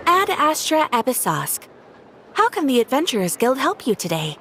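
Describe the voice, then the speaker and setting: Polite helpful game guild clerk, sing-song delivery, faint noise behind. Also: female voice, high-pitched, sing-song, normal speed, a bit bright, heavily acted. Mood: courteous. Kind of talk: anime game voice acting.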